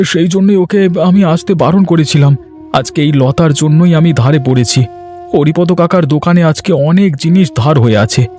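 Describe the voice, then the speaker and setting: A man's voice: a little high, normal speed, mellow, lightly acted. A man voicing a poor, henpecked village farmer in a cartoon, sarcastic and exasperated, with a whining, complaining manner. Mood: distressed, complaining. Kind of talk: Bengali cartoon dubbing. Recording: music behind the voice.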